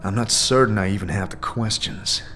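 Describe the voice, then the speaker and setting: Casting: man as troubled male adventurer for fantasy audio drama, halting and urgent, exasperated questioner, light music bed. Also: on the low side, sharp tone. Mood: troubled, unsure. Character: exasperated amnesiac male wanderer, searching for answers.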